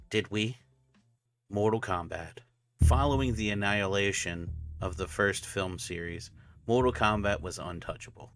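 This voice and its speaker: A man's voice: on the low side, fairly bright tone. A man giving a casual review and recap, with a sardonic manner and wry asides. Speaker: movie reviewer.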